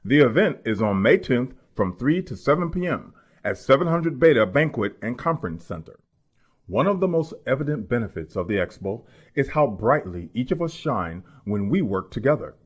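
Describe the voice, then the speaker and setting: A man voicing a friendly, even-toned narrator for a scripted instructional video. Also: mid-range voice, moderate pace, husky.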